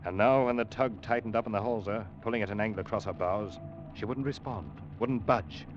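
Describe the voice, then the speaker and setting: An actor playing a young ship's officer who narrates his tale in a measured, grave way, in 1940s radio drama style. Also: lowish voice, a little hiss or hum, close to an everyday voice. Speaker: narrator and first mate Ned. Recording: dull old radio recording with music.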